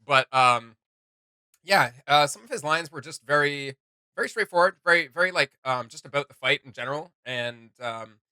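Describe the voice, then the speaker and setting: A man's voice: a little high, moderate pace, pushed and bright, clear, resonant tone, no room sound. A man hosting a reaction video, chatting casually and jokily, feeling out his opinions as he goes.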